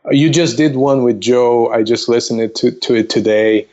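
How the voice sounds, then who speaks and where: A man's voice: medium pitch, normal speed, darkish tone. Man explaining things over dull lo-fi audio. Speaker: investment counselor, podcast guest. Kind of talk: casual explanatory podcast talk.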